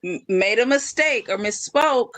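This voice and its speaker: A woman guest on a live stream panel, sounding hoarse and defensive, stumbling over restarts as she argues back. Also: on the high side, animated, measured pace, fairly bright tone, dry recording. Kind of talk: heated live stream argument.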